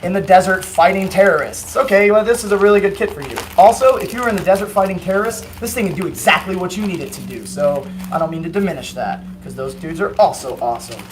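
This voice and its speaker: A man hosting a casual livestream, chatty and joking, with a hoarse voice today. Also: high-pitched, fast talker, few pauses, noisy background. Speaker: host of a company livestream. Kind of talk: casual product livestream chat.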